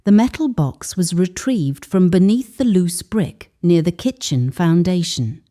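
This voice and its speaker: A woman narrating a scripted dramatic story in a solemn, measured manner with deliberate pauses for emphasis. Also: fairly low pitch, lively intonation, warm tone.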